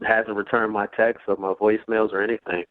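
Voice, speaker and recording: A man's voice: fairly low pitch, flat delivery, a little fast. A self-assured, boastful man chatting casually as a caller to a radio show over the telephone. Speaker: phone-in guest on a radio show. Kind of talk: casual phone chat. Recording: thin narrowband phone line.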